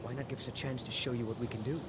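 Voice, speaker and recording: An actor playing an eager, defensive young mage apprentice in a video game, speaking in protest. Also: male voice, mid-range voice, fairly even intonation, racing, slight background noise, close to an everyday voice. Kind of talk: video game character dialogue.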